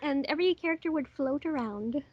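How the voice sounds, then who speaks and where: A woman's voice: sits high, brisk. A woman chatting casually as a crew member on a commentary track, heard through dull, lo-fi audio. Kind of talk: casual group commentary chat.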